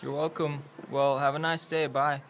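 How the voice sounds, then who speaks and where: Radio drama role: actor as helpful polite man, fairly high voice, clear outdoor noise. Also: little pitch movement, normal speed, a bit bright. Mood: friendly, polite. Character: helpful polite man returning a lost wallet. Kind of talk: radio play acting. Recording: noisy outdoor scene.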